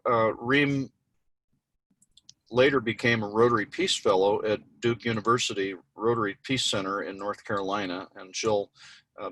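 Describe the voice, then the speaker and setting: A man introducing a keynote speaker on a webinar from a mobile office, talking in a halting way with many fillers. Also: on the low side, bright, forceful tone, rough recording. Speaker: event host introducing a speaker. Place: mobile office with street noise around.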